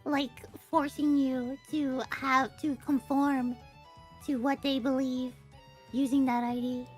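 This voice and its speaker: High-pitched young woman, blunt. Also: level tone, slow pace, a little mellow, faint music behind. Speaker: streamer, collab guest. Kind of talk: casual chat.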